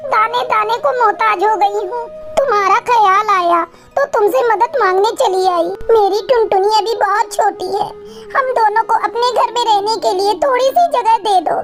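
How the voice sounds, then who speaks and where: A woman's voice: very high voice, normal speed, faint music behind. A woman voicing a humble, sorrowful widowed mother bird in a cartoon moral story, with a pleading manner.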